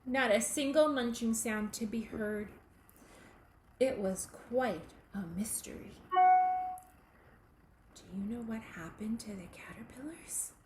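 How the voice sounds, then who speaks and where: A woman reading a children's picture book aloud, in a deliberate, expressive way aimed at young listeners. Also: a little high. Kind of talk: children's storybook read-aloud. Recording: echoey room with faint background noise.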